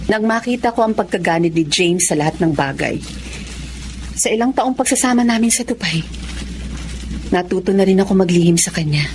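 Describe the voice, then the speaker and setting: A woman playing a resolute wronged wife in a Filipino audio melodrama, with an emphatic, deliberate delivery and a Filipino accent. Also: medium pitch, expressive, background noise, close to an everyday voice. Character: wronged wife Samantha, resolute, standing up to abusive husband. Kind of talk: Filipino radio-style melodrama acting.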